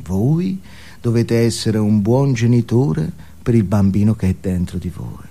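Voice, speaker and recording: A man's voice: fairly low pitch, expressive, measured pace, gravelly, dark tone, voice pushed down, heavily acted. A man playing a brash, cynical self-help guru in a comic stage monologue, exhorting his listeners emphatically with rhetorical questions. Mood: hushed, earnest. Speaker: stage actor. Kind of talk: theatrical comic monologue as motivational speech.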